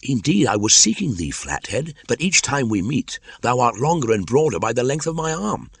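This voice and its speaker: A man's voice: medium pitch, sing-song, moderate pace, creaky, breathy edge, no room sound, pitched above the performer's own voice, full character voice. A man voicing a brave, earnest young jungle boy in a storybook, speaking in a bold declamatory way. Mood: flattering, eager.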